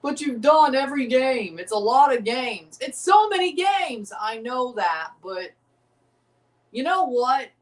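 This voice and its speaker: A man's voice: very high-pitched, measured pace, recorded from a distance. Rambling streamer man, a bit off-mic. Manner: rambling, excitable, repeats himself. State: voice getting scratchy from overuse. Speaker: streamer hosting a match watchalong. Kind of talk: casual livestream chat.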